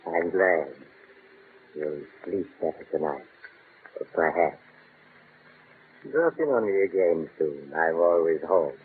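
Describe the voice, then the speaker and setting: An actor playing a calm, sinister host of horror tales, speaking slowly and insinuatingly in 1940s radio drama style. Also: male voice, low-pitched. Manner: slow, insinuating, mock-sympathetic. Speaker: storyteller host of the show. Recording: tinny old radio transcription, faint hiss.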